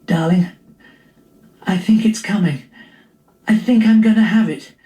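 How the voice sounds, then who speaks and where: Guy doing his pregnant wife in a memoir, high pitched, anxious and pleading. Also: a little slow, warm tone, echoey room. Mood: urgent, breathy.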